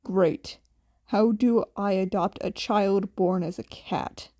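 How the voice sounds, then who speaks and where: A woman voicing a gruff, tired, blunt but protective man with a grudging deadpan delivery in a fan fiction audiobook. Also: mid-range voice, slow, no room sound, clean studio sound, voice pushed down. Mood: dry, matter-of-fact.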